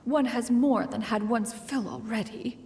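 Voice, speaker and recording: A woman's voice: a little high, unhurried, darkish tone, a little hiss or hum. A woman voicing a grand, theatrical immortal master with formal speech in a video game. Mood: stagey, scheming. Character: haughty, scheming female immortal master feigning polite chat. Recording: faint music behind.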